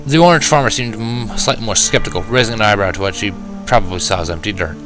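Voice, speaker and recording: A man's voice: lowish voice, quick pace, over music. A man reading a fan-fiction story aloud as scripted first-person narration.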